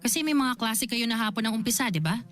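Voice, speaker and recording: A woman's voice: a little high, animated, fast, fairly bright tone. A Filipino woman playing a caring, worried older sister in a radio drama, speaking hesitantly and carefully. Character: caring, worried adult older sister confronting her sibling.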